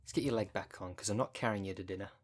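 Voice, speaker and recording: A man's voice: lowish voice, very fast, natural voice. A man playing a wry, caring, foul-mouthed necromancer who coaches a zombie fighter in a casual, chatty ASMR audio roleplay. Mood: warm, encouraging. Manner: chatty, colloquial, wry, consoling.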